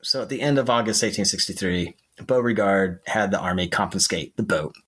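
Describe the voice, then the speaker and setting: A man with a husky voice telling history in a conversational podcast style. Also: deep voice, a little fast, fairly bright tone. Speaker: podcast co-host.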